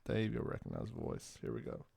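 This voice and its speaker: A man's voice: lowish voice, huge pitch swings, brisk, vocal fry, dark tone, slightly rough, no room sound, studio quality. A man hosting a comedy podcast in casual, bantering talk. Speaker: podcast host.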